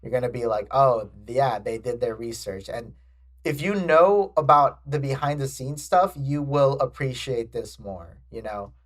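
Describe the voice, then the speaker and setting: A man's voice: mid-range voice, measured pace. A man hosting a casual podcast chat, rambling through stumbling restarts. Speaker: podcast host.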